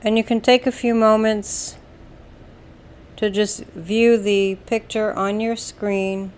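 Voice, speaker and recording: A woman with an American accent leads a guided meditation, giving calm, soothing instructions in a deliberate way with long pauses. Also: medium pitch. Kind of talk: slow guided meditation narration.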